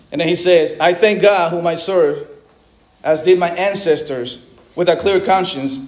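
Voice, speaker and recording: High-voiced preaching man from the Dominican Republic. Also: a little mellow. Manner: conversational preaching with frequent restarts and asides. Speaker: pastor. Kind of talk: sermon.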